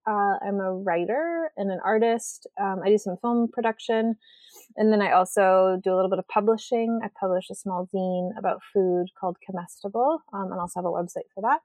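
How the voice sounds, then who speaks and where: A woman's voice: mid-range voice, brisk, darkish tone, no echo. American woman chatting in a dry-sounding interview. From rural western Washington state, USA. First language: Swedish. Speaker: podcast guest, writer and artist. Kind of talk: casual conversational interview.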